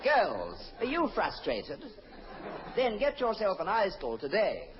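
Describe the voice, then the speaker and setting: A man's voice: sits high, wildly swooping pitch, slow pace, a crowd in the background. A man performing radio sketch comedy as a theatrical mock announcer. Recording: dull, noisy old radio, studio audience.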